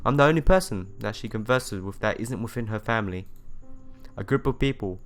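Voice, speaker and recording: A man's voice: on the low side, lively intonation, fairly quick. A man reading a visual novel aloud, with casual asides and some stumbles over words that he goes back over. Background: music playing behind the voice.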